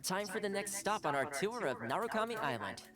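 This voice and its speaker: A man's voice: high voice, sing-song, a little fast. A man voicing a playful, courteous young retainer in a video game, articulate and politely teasing. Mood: thoughtful. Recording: game music behind.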